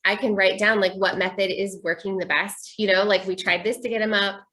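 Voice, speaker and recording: A woman talking casually and informally, as in a relaxed conversation between friends. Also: mid-range voice, fairly quick. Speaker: podcast host, parent. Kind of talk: casual chat.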